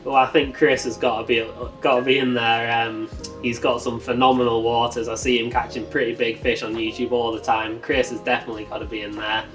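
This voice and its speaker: A man from Northwest England answering interview questions, relaxed and candid. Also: medium pitch, a little fast. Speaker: angler competitor. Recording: distant mic, faint music behind.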